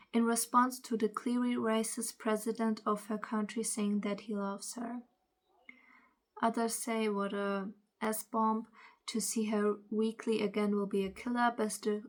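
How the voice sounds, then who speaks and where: A woman who is not a native English speaker, with a non-native accent, giving casual opinion commentary and reading aloud, often stumbling over words and correcting herself. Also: on the high side. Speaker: commentary video host.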